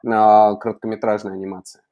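A middle-aged man asking interview questions over a video call in a polite, deliberate, halting way. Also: lowish voice, little pitch movement, narrow, tinny audio.

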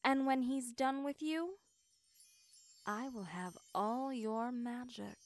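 A woman acts a cruel witch queen in a fantasy audio drama. Her voice is fairly high and she speaks slowly, savouring her power with haughty menace. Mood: gloating. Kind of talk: ASMR fantasy audio roleplay.

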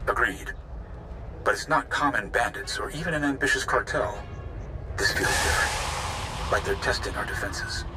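A man playing a wary, hawkish warrior advisor in a video game, with a grim, deliberate manner. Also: medium pitch, background noise, lightly acted. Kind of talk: dramatic video game acting.

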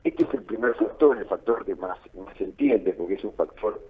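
A man's voice: lowish voice, normal speed, slightly rough. A man with an Argentine accent giving political analysis in a radio interview, repeating phrases for emphasis. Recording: narrow phone-like line, muffled.

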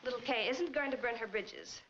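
An actress playing a cool, flippant young gold-digging model in a 1940s film, with a wry, offhand manner. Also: female voice, fairly high pitch, fairly quick, noisy background, lightly acted. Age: young adult. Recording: dull 1940s film soundtrack with music.

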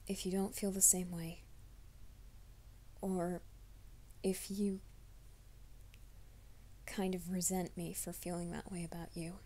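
Shy young werewolf guy played by a woman: soft half-whisper, slow, flat, mellow, stammering. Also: on the low side. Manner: hesitant, stammering, self-conscious. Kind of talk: ASMR roleplay.

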